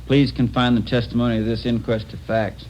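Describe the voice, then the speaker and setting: An actor playing a dry, official coroner questioning in a formal, impersonal way in a 1950s radio drama. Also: male voice, mid-range voice, little pitch movement, fairly quick, lightly acted. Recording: muffled, noisy old radio recording.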